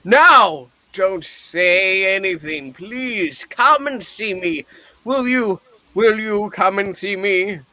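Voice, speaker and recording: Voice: medium pitch, expressive, takes it slowly, pushed and bright. A performer playing a giddy, newly reformed old miser in a radio play reading, effusive and theatrical. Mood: grateful.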